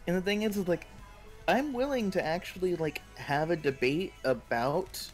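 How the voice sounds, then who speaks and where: A man's voice: sits high, lively intonation, normal speed, soft background music. An American man co-hosting a casual chat stream, talking in a conversational, hedging way. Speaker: stream co-host.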